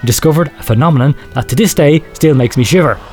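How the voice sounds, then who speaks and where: Man playing a grave researcher, lighter-pitched, ominous. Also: quick pace, a little mellow, faint music behind, lightly acted. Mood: ominous confession. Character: grave male researcher confessing secret horrific experiments. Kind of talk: dramatic horror storytelling, read aloud.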